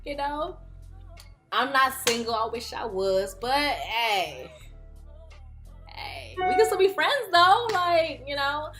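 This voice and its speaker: A woman's voice: high voice, very animated, slow, long pauses, over music. A woman in her early twenties, chatting casually and playfully through a question-and-answer vlog. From Houston, Texas. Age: 20s. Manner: chatty, playful, laughs often. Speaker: YouTuber.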